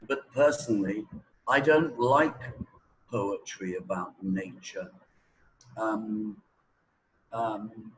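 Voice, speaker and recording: A middle-aged man giving opinionated, deliberate answers with long pauses in a conversational interview. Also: mid-range voice, a little hiss or hum, poor audio. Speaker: English teaching expert being interviewed.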